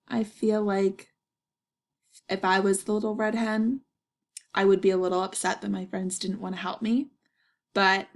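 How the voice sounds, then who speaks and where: A woman reading a children's story aloud and pausing to put questions to her young listeners. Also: medium pitch.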